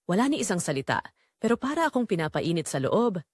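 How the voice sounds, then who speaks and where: A woman's voice: on the low side, sing-song, fast, breathy edge, dry recording, clean studio sound. A woman with a Filipino accent reading a scripted first-person story as the narrator of an audio drama.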